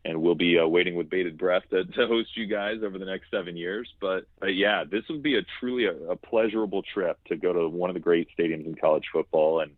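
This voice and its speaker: Fast, deep-voiced man on a phone line. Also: dry recording. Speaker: radio play-by-play announcer as guest. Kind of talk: conversational phone interview. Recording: tinny band-limited phone line.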